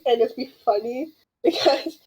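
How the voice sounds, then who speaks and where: A woman's voice: fairly high pitch, measured pace, darkish tone, breathy edge, rough recording. A woman gamer making casual, loosely phrased, rambling chat while playing on stream. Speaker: game streamer.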